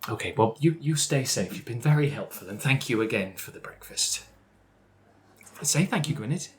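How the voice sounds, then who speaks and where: An improviser plays a protective character in a tabletop game. The delivery is fond and coaxing, with stammered, emphatic repeats and an indignant streak, at a medium pitch. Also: expressive, moderate pace, lightly acted. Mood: polite, decisive. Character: protective, indignant adult, fondly calling others darling.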